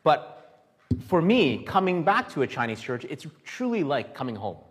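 A man from Canada preaching in a church hall, pausing phrase by phrase for an interpreter. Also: fairly high pitch, big pitch swings. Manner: halting, stops often for interpretation. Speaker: guest preacher. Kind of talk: sermon with live interpreter. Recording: echoey hall.

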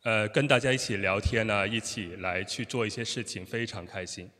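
A man relays a sermon in short chunks, his voice bright and forward. He fills the gaps with frequent hesitations as he catches each phrase. The church hall gives his voice a long echo. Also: lowish voice, fairly quick. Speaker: interpreter. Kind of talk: consecutive sermon interpretation. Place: church sanctuary.

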